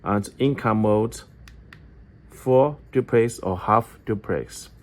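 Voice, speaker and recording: Male demonstrator, lowish pitch, halting English, step-by-step tutorial delivery. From China. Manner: halting non-native English with repeated phrases. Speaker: product demonstrator for an electronics company.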